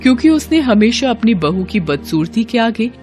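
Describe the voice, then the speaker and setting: A woman's voice: fairly high pitch, big pitch swings, moderate pace, darkish tone, faint music behind. A woman working as narrator, reading a scripted Hindi moral story.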